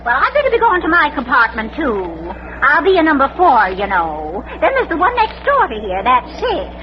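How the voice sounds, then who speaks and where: An actress playing a chatty, nosy, superstitious matron in an old radio mystery. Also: female voice, high voice, wildly swooping pitch, brisk. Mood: chatty, uneasy. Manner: chatty, folksy, dramatic. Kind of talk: 1940s radio comedy-mystery acting. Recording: noisy, dull vintage radio recording.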